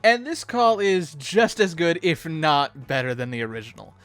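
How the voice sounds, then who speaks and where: A man's voice: a little high, moderate pace, a bit bright, dry recording, faint music behind. A man co-hosting a fan show, delivering scripted back-and-forth commentary.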